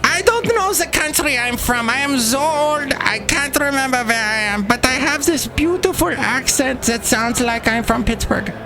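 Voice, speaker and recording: A man voicing a scatterbrained, very old woman of unknown homeland, talking in a shrill, theatrical ramble. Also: lively intonation, moderate pace, hardly any pauses, music in the background. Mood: grand, dotty. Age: older.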